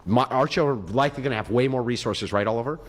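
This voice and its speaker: A man's voice: medium pitch, very fast. A man in his forties in a heated debate, pressing his opponent and repeating demands for an answer. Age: 40s. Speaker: podcast debater.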